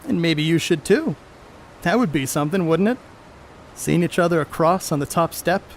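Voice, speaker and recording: A man's voice: a little high, expressive, normal speed, slight background noise. A man playing a boastful, crude star ballplayer in a 1930s stage play, cocky and needling in folksy slang. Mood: chummy, easygoing. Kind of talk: amateur stage play acting, 1930s period dialogue.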